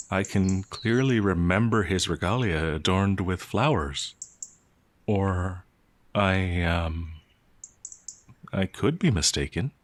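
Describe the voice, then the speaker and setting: A man voicing a gentle, confused, ancient werewolf in an ASMR roleplay, soft-spoken and polite, with hesitant stammering. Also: deep voice. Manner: halting, hesitant, bewildered stammering. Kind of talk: ASMR roleplay audio drama.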